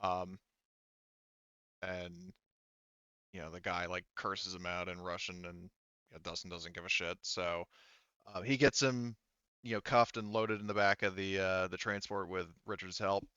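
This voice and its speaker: Low voiced guy game mastering, sweary and casual, crisp but slightly lo-fi dry mic. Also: fairly even intonation, a little slow, long pauses, bright, forceful tone, no echo.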